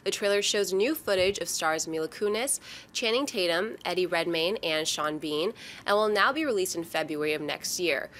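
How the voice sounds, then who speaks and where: A woman with a slightly low voice presents entertainment news. Her delivery is a scripted read, as a presenter introducing items on a show. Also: normal speed, fairly bright tone, a little hiss or hum.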